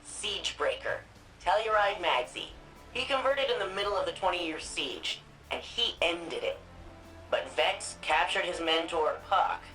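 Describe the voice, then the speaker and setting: An actress voicing a spirited, nerdy young woman archivist in a video game, quick and chatty. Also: lowish voice, animated, a bit bright, soft background music, low-quality recording. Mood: reflective. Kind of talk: video game dialogue and narration.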